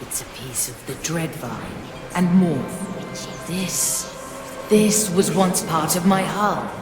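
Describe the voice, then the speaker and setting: An actress playing the solemn, proud spirit of a living ghost ship in a video game, formal and measured with a ghostly reverb. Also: female voice, lowish voice, animated. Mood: solemn, wondering. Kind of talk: video game character acting. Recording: echoey voice under loud music.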